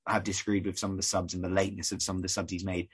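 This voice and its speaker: A man from the UK hosting a casual podcast, rambling and conversational, his voice croaky. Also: low-pitched, level tone, rapid-fire. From United Kingdom. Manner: rambling, conversational, many fillers. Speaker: podcast host.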